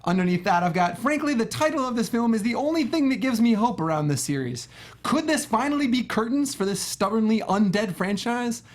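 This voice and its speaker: A man talks very quickly as a co-host on a film review show. His delivery is casual and conversational, with a wry, sarcastic streak. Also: extremely high pitch, animated. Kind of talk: casual movie review chat.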